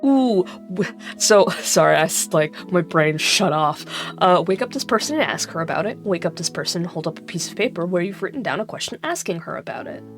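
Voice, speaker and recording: A woman's voice: lowish voice, expressive, fairly quick. A woman reading an interactive gamebook aloud, breaking off into chatty, irreverent asides where she swears and laughs at herself. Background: background music.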